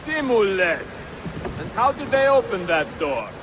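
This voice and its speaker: High-pitched blunt henchman. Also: big pitch swings, a little slow. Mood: doubtful. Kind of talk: video game cutscene acting. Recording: noisy outdoor game ambience.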